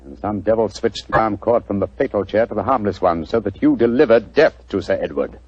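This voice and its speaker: An actor playing a brisk, shrewd English gentleman detective, assured and authoritative, in the style of 1940s radio drama. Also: on the low side, no room sound. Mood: excited, commanding. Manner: crisp, authoritative, deductive. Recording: dull 1940s radio recording.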